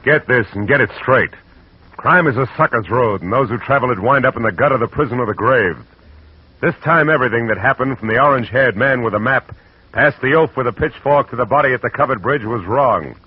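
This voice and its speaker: A man's voice: mid-range voice, a little fast, slightly rough, dry recording, close to an everyday voice. A man playing a hard-boiled private detective who narrates in a terse, wry way in an old radio drama. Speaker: narrator and lead. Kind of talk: 1940s radio acting. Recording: muffled old radio recording with loud hiss.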